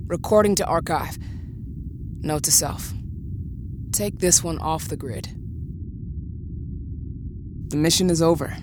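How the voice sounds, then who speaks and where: A woman's voice: deep voice, slow pace, long pauses, noisy background. A woman playing a defiant, suspicious space mission commander in a science-fiction audio drama, terse and insistent. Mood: resigned.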